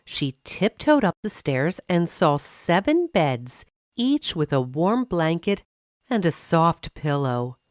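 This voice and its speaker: A woman narrating a scripted fairy tale for children, expressive and carefully phrased. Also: on the low side, slow pace, no room sound, clean studio sound. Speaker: storyteller.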